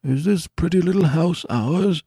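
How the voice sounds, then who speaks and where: A man's voice: a little high, unhurried, gravelly, warm tone, breathy edge, no room sound, clean studio sound, pitched above the performer's own voice, full character voice. A man voicing a disfigured, anguished young husband who speaks in a mournful, pleading way. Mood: tender.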